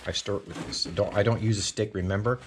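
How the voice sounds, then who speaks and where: A man's voice: lowish voice, steady tone, fairly quick, a little hiss or hum. A man with a Newfoundland accent hosting a casual do-it-yourself car repair video, talking through his work with lots of fillers and restarts. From Newfoundland, Canada. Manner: chatty, rambling, with frequent fillers and restarts. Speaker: channel host. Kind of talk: casual DIY garage tutorial. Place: home garage.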